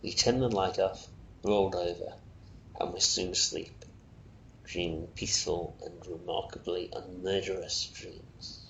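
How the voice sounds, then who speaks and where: A man reading a short story aloud as narrator, with expressive delivery. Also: a little slow, creaky, lo-fi sound. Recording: roomy echo, faint noise, rumble.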